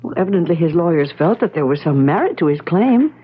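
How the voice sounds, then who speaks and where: A woman's voice: on the low side, sing-song, quick pace, slightly rough. An actress playing an anxious, bewildered wife of a missing man in old-time radio drama style, earnestly pressing with questions. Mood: disturbed, probing. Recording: muffled vintage radio broadcast.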